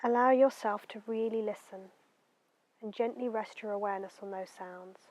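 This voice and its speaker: A woman guiding a meditation in a gentle, unhurried, soothing way, letting her phrases end softly. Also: mid-range voice, fairly even intonation, no room sound. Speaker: meditation guide. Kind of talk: guided meditation narration.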